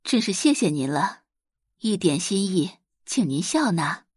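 A woman's voice: fairly low pitch, very animated, measured pace, slightly rough, dry recording, clean studio sound, heavily acted. A woman voicing a protective, tender middle-aged mother with an emotional delivery in an audiobook drama. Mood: grateful. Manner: soothing, emotional.

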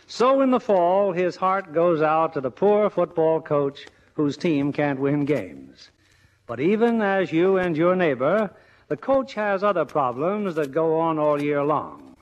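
Animated man hosting vintage radio theatre. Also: on the high side, a little slow. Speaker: radio theatre host and producer. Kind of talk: scripted, theatrical 1950s radio presenting. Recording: dull old radio broadcast recording.

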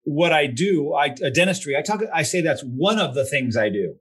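A man's voice: fairly high pitch, lively intonation, normal speed, a bit bright, breathy edge. A man hosting a conversational interview, thoughtful and affirming, often answering with short acknowledgements. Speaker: host.

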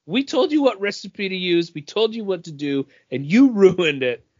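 A man's voice: high voice, lively intonation, moderate pace, dry recording. Guy chatting on a podcast, dry sound, slightly muffled lo-fi. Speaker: podcast co-host. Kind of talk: casual panel chat.

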